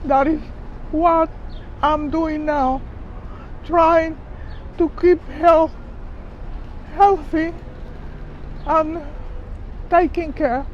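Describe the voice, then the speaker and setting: Very high-voiced older Cuban woman speaking slowly. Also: fairly even intonation, a little mellow. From Cuba. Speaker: speaker at a press conference. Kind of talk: public testimony speech. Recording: dull outdoor recording with traffic noise.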